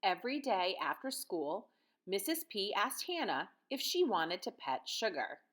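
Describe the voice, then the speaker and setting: A woman's voice: medium pitch, very animated, unhurried, fairly bright tone. An American woman in her thirties, a teacher reading and talking to young children in simple, guiding phrases. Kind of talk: picture-book read-aloud and lesson for children.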